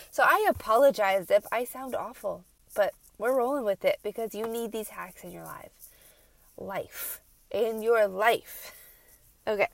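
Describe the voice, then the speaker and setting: A woman's voice: medium pitch, gravelly, no room sound. A woman hosting a chatty solo podcast, speaking informally and emphatically straight to her listeners, with a stuffy, congested nasal sound. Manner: animated, emphatic, repeats phrases for effect; informal, conversational, addresses listeners directly. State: stuffed-up nose. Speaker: podcast host and health coach for moms.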